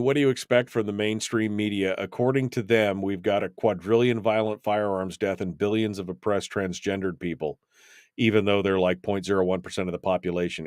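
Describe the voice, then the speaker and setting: A man's voice: lowish voice, no echo, clean studio sound. A man hosting a talk radio show, reading listener comments aloud and answering them with opinionated, rambling remarks full of ums and restarts.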